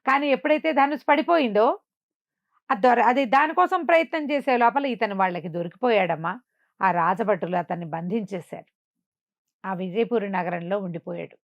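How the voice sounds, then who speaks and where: Female storyteller, conversational, chatty, explanatory, medium pitch, close dry sound, slightly dull recording. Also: normal speed, dry recording. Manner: chatty, explanatory, checks in with listener.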